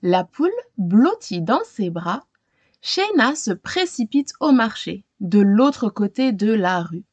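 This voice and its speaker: A woman's voice: medium pitch, big pitch swings, slow, a little mellow, breathy edge, dry recording, highs cut off. A woman hosting storytime, reading a children's story carefully and with dramatic expression. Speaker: storytime reader and host. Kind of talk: scripted children's storybook narration.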